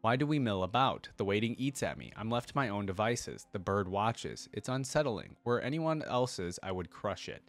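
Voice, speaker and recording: A man's voice: fairly low pitch, animated, moderate pace, hardly any pauses, natural voice. A man voicing a brutal, bloodthirsty undead warrior in terse, grim declarations, as a dramatic story reading. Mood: grim, restless.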